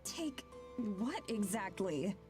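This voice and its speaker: A woman's voice: medium pitch, big pitch swings, takes it slowly, music in the background. An actress playing a hurt, earnest young woman in a visual novel, pleading and confrontational. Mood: hurt, frustrated.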